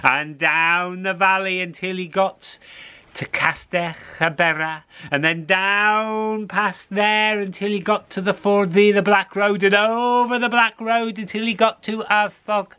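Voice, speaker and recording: A man telling a story to children in an expressive, encouraging way, repeating phrases for dramatic suspense. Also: sits high, a little slow, clean tone.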